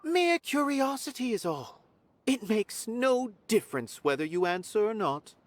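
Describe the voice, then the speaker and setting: An actor playing an aloof, condescending observer in a theater who muses slowly about art. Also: male voice, high voice, sing-song, a little hiss or hum. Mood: musing. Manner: slow, musing, haughty. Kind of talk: game voice acting.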